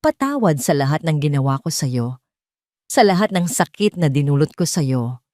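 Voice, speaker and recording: A woman's voice: sits low, big pitch swings, normal speed, darkish tone, dry recording. Woman narrator as guilty ex-lover, male, apologetic and pleading, romance audio story, dry sound. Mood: remorseful. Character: remorseful injured man, unfaithful former lover.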